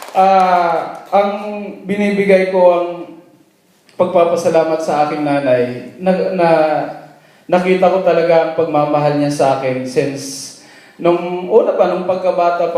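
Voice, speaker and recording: A Filipino-accented man gives a church testimony with nervous laughs. His voice is mellow and slightly high, and it echoes strongly around the church hall. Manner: laughs nervously, switches between English and Tagalog. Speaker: church member giving testimony. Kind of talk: personal testimony.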